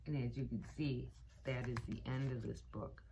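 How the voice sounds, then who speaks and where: A middle-aged woman reading a children's picture book aloud, pausing for asides to her young listeners. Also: extremely low pitch, steady tone, background noise. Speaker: children's story time reader, teaching assistant. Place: small cluttered room indoors.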